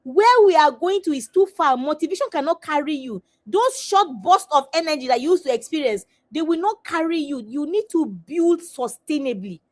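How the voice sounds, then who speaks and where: A middle-aged woman with a high-pitched voice leads a live coaching webinar. She drives her points home through repetition and rhetorical questions, speaking in an emphatic, preaching manner.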